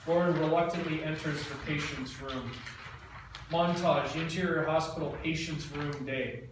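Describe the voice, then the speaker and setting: A man reading screenplay stage directions in a steady, plain delivery. His voice sits a little high and sounds distant, bathed in heavy room echo with light hiss. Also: moderate pace. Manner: plain, even reading with small stumbles. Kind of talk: reading screenplay action lines. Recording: echoey room, mic from afar, faint noise.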